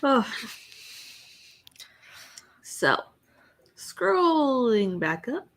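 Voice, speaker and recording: Brief: young woman streamer, bright, slow easy delivery, reads chat and greets viewers. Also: high-pitched, sing-song. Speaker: livestream host. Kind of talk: casual livestream chat.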